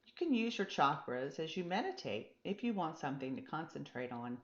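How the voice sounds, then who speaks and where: A woman voicing a calm, patient, encouraging meditation teacher in a storybook, gently reassuring as the teaching goes on. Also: low-pitched, fairly quick, roomy sound, dull audio. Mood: soothing, guiding. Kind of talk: storybook character dialogue.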